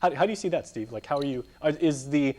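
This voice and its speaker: A man's voice: on the high side, quick pace, darkish tone. A man hosting a news interview in a casual, conversational style.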